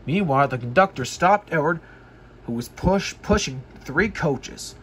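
A man reading a children's story aloud, haltingly, often repeating and correcting his words. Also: fairly high pitch, animated, breathy edge. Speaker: storybook reader.

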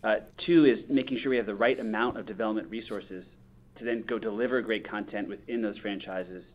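A male company executive with a lowish voice answers on an earnings call. His phrasing is measured and corporate, and the audio is slightly dull and lo-fi. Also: steady tone. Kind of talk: earnings call answer, prepared business talk.

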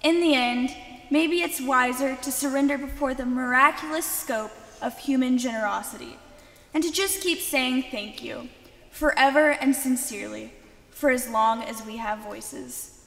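Young woman, high voice, echoing church. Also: a little slow, vocal fry, a bit bright. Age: young adult. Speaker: youth reader giving benediction. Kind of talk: reading a benediction. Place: large church sanctuary, at a pulpit. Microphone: lectern microphone. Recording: echoey church hall with long reverb.

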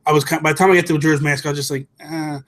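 A man's voice: fairly high pitch, little pitch movement, very fast. An American man in his thirties co-hosting a casual gaming chat, speaking emphatically and repeating phrases for stress. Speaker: podcast co-host. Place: small home room, indoors. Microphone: desk microphone close up, headset.

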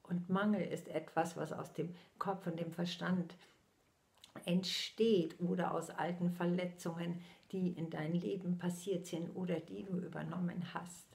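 Slow, gravelly older woman medium. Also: fairly low pitch, fairly even intonation. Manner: slow, solemn, eyes closed, drifting into soft whispers. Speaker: spiritual medium. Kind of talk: channeled spiritual message. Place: small indoor room at home.

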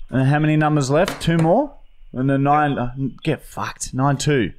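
A man's voice: fairly high pitch, moderate pace, slight background noise. An Australian man in his thirties hosting a comedy podcast, talking in a casual, hesitant, sweary way on a studio microphone. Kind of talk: casual banter and prank calling. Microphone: boom-arm studio microphone.

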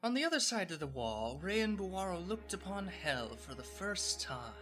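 A man's voice: high-pitched, sing-song, moderate pace. A man narrating a scripted story in a storybook style. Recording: loud background music.

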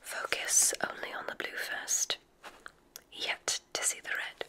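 A woman playing a gentle, reassuring witch examiner in a whispered ASMR medical roleplay, giving careful, soothing instructions in a breathy voice. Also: takes it slowly.

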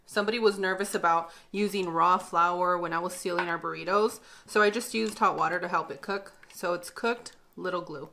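A woman's voice: fairly low pitch, a bit bright, a little hiss or hum. Upbeat woman hosting a kitchen demo. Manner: upbeat, chatty, laughs often. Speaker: cooking show host. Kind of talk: casual cooking demonstration. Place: bright home kitchen indoors.

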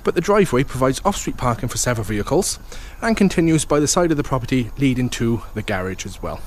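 A man's voice: mid-range voice, animated, fast, a little hiss or hum. A man, an estate agent, giving an upbeat walkthrough tour of a house, full of sales patter and repeated "okay" and "fantastic".

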